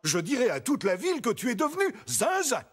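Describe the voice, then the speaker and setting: A greedy, mocking cartoon rich man, high-pitched and very animated. He scoffs pompously and exclaims, with music behind him. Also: fairly quick, exaggerated voice. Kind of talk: cartoon acting. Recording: music under the voice.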